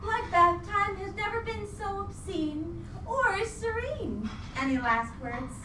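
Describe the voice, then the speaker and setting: A vengeful female ghost in a live radio play, high and swooping in pitch. The delivery is theatrical and taunting, full of demonic glee. It comes across echoey and distant from the mic. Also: animated, slow pace, background noise, exaggerated voice. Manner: theatrical, taunting, pun-heavy.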